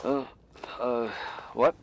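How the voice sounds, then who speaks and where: An awkward, eager-to-help man with a medium-pitched voice. He hesitates and rambles with gentle humor, in naturalistic game acting. Noticeable noise sits behind the voice. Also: flat delivery, drawn-out words, low-quality recording. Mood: groggy, flustered. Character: awkward, absent-minded, eager-to-help workaholic man on the phone.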